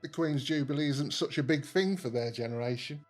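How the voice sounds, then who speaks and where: An actor plays a middle-aged son-in-law in a radio play. He is easygoing, polite and affable in an everyday way. Soft music runs faintly behind him. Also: fairly high pitch, a little fast, natural voice. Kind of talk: naturalistic audio drama acting.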